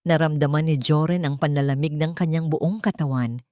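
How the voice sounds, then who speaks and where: Low-voiced Filipino woman narrating drama. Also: fairly even intonation, normal speed, a little mellow, dry recording, studio quality. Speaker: storyteller. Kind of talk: dramatic scripted romance narration.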